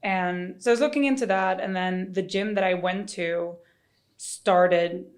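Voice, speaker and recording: A woman's voice: fairly low pitch, unhurried. A woman from Sweden chatting casually as a podcast guest and fitness coach. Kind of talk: casual chat.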